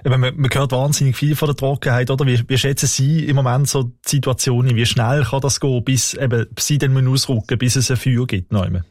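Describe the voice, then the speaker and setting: A man's voice: medium pitch, fairly even intonation, quick pace, few pauses, a little mellow, no echo. This is a man, working as a radio reporter. He sounds conversational but probing as he follows up on the answers. His voice sits in a middle range, recorded close and dry. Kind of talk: radio interview questions.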